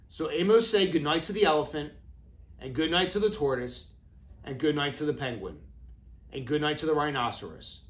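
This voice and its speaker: Lively, higher-pitched man reading to children. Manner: deliberate, expressive phrasing for young children. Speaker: educator reading aloud to kindergarteners. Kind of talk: children's storybook read-aloud. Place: classroom, indoors.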